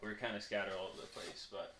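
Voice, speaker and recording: Low-pitched young man vlogging casually. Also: level tone, quick pace, bright, forceful tone, a little hiss or hum. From Puerto Rico. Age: 20s. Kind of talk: casual vlog chat and product review.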